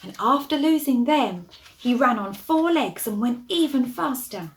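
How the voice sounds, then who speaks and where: A woman storyteller reading a storybook expressively to young children, with hushed asides and gentle questions to the listeners. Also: a little high.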